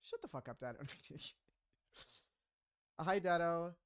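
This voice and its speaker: A man's voice: on the high side, animated, takes it slowly, fairly bright tone, clear, resonant tone, dry recording. A man in his mid-twenties, a streamer chatting casually and rambling with frequent laughs while reading his chat. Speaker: streamer and animator.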